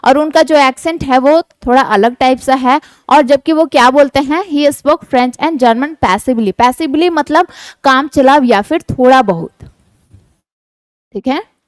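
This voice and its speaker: A woman teaches a textbook chapter, her voice sitting high. She reads English passages slowly and unpacks them in Hindi, dotting her talk with "okay". The sound is close and dry, with no room to it. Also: no echo. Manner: reads English lines slowly, then explains with frequent "ठीक है" fillers.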